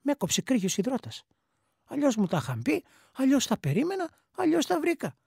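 A woman's voice: high-pitched, huge pitch swings, vocal fry, mellow, husky, dry recording, studio quality, exaggerated voice. A woman playing an emigrant ex-soldier man, telling an anecdote colloquially in speech for a radio play. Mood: reminiscing. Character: plain-spoken emigrant army veteran man recounting his past.

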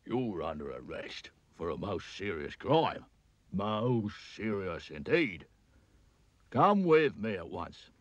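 A man voicing a gloomy, stern castle soldier who speaks slowly and glumly. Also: big pitch swings, dry recording, heavily acted. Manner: slow, low, glum. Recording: dull lo-fi recording.

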